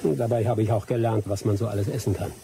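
A man's voice: fairly low pitch, fairly even intonation, fast, vocal fry, dark tone, faint music behind. An actor playing a seasoned, teasing male jungle adventurer with dry humour in a German radio drama. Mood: wry storytelling.

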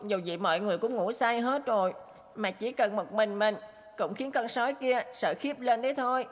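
A woman voicing a naive, boastful and stubborn young boy donkey in an animated fairy tale. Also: on the high side, normal speed, natural voice. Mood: triumphant, cocky. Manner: boastful, whiny, talks to himself.

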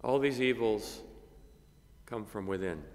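Older priest, gravelly, in a chapel. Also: male voice, on the low side. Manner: measured, halting with many fillers. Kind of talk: gospel reading and homily. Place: cathedral chapel, at a lectern. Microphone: lectern microphone. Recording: long reverb of a chapel.

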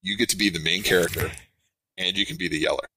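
A middle-aged man with a big projecting voice, talking in a rambling, animated way as a podcast interview guest. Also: deep voice, fast, a little hiss or hum, poor audio. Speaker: game designer guest.

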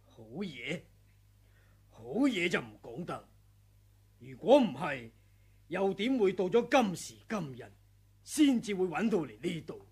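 A man's voice: sits high, very animated, unhurried, long pauses, darkish tone, slightly rough, exaggerated voice. An actor playing a scheming older martial arts senior, smug, pompous and menacing, taunting his rival in a radio drama. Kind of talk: Cantonese radio drama villain acting.

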